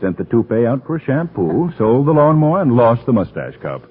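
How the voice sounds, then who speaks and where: An actor playing a debonair gentleman sleuth in 1930s radio drama, speaking with a light, wry manner. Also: mid-range voice, big pitch swings, a little fast, husky. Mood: wry banter. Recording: tinny, phone-like 1930s radio recording.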